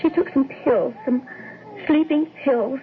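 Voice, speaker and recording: Sorrowful messenger woman, high voice, halting, apologetic, 1970s radio drama, lo-fi sound. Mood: sorrowful, upset. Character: apologetic, sorrowful bearer of terrible news. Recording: lo-fi old radio broadcast, faint noise.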